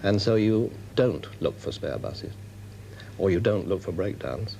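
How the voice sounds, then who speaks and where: A man's voice: deep voice, animated, a little slow, vocal fry, mellow, a little hiss or hum. A British man giving considered, wordy and formal answers as an engineering director in an interview.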